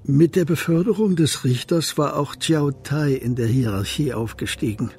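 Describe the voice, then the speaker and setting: A man's voice: fairly high pitch, moderate pace, a little mellow, slightly rough. A man narrating a German radio crime play in a scripted storytelling style.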